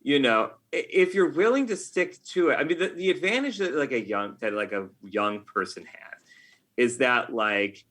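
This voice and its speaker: A middle-aged man talking casually as an interview guest, often halting and rephrasing himself as he goes. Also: medium pitch, animated, fairly bright tone. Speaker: podcast guest, game writer. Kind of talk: casual interview talk, reflective anecdotes.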